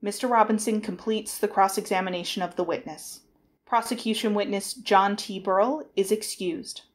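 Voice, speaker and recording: A woman's voice: medium pitch, steady tone, moderate pace. A woman delivering formal scripted narration as the narrator of a trial reading.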